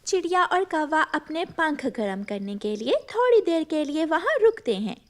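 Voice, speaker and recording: A woman's voice: high-pitched, sing-song, normal speed. A woman reading a scripted children's story as narrator, with faint noise behind her.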